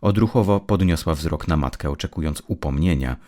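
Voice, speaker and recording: A man reading scripted narration of a dark story as an audiobook narrator. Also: extremely low pitch, fairly quick.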